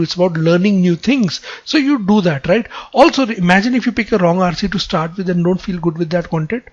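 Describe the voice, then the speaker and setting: A man's voice: high-pitched, lo-fi sound. A man with an Indian accent giving conversational exam-prep advice as a mentor, emphatic and repetitive, often checking in with short tag questions. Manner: emphatic, repetitive phrasing with frequent "right?" tags.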